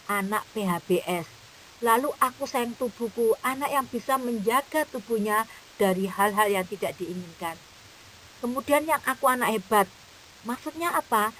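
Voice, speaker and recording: A woman's voice: on the high side, a bit bright, low-quality recording. A woman who teaches kindergarten, patiently explaining a curriculum to fellow teachers. She repeats her points and keeps checking that they follow. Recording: faint hiss.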